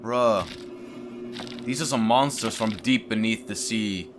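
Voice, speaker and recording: A man's voice: on the low side, takes it slowly, noisy background. A man hosting a gaming video, giving casual, slangy reaction commentary with muttered asides.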